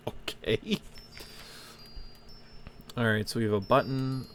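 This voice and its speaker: A man's voice: medium pitch, fairly even intonation, takes it slowly, a little hiss or hum. A man giving casual let's-play commentary, musing aloud as he thinks through puzzles. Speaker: gaming host, player. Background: game music.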